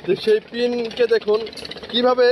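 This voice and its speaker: A man's voice: very high-pitched, flat delivery, moderate pace, lo-fi sound. A man with a Bangladeshi accent giving on-location vlog commentary, explaining what he sees in a repetitive, marvelling way. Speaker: video blogger. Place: outdoors at a riverside work site. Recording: noisy outdoor recording with vehicle ambience.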